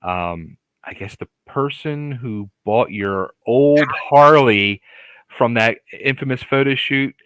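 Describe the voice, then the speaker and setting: A man hosting a casual interview, enthusiastic and halting as he shapes his questions. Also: medium pitch, a bit bright, clear, resonant tone, no room sound, rough recording. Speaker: podcast host, interviewer.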